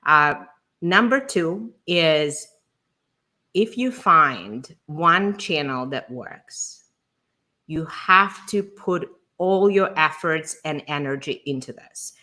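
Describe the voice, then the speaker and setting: A woman's voice: low voice, animated, slow, sharp tone. A woman co-hosting a live stream, giving conversational startup advice as a founder. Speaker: show co-host and startup founder.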